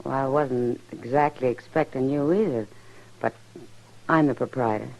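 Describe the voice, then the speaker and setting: A woman's voice: rumbling low, normal speed, a little mellow, poor audio. An American woman playing a frank, self-possessed saloon keeper in an old-time radio western, direct and unflustered. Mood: cool, direct. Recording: vintage radio recording.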